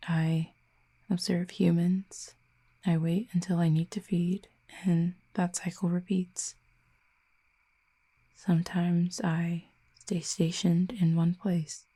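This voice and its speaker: Woman doing a wistful exiled cupid, slow and hushed, kinda flat, half whispering in an audio rp. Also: on the low side, husky. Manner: soft, hushed and slow, often near a whisper. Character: exiled cupid, gentle, wistful, soft-spoken. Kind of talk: audio roleplay to the listener.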